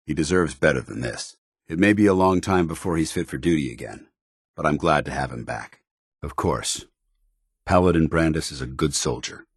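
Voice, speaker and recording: Very deep, stern military paladin. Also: male voice, moderate pace, breathy edge, no room sound, clean studio sound. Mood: earnest, measured. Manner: formal, clipped military bearing. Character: stern, dutiful soldier in power armor, paladin of a military order. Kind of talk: video game companion dialogue acting.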